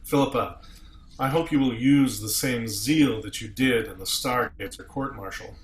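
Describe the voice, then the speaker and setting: A man's voice: mid-range voice, moderate pace, a little hiss or hum, natural voice. A man playing a stern, principled starship captain in a table read, formal and commanding, recorded in an echoey room. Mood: firm, indignant. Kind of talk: amateur table read of a sci-fi script.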